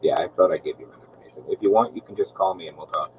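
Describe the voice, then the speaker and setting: Calm deep-voiced man, laid-back stream host. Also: rapid-fire, recorded from a distance, noisy background. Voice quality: calm, relaxing voice. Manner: laid-back, rambling with many ums. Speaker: aquarium channel host. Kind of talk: casual livestream chat.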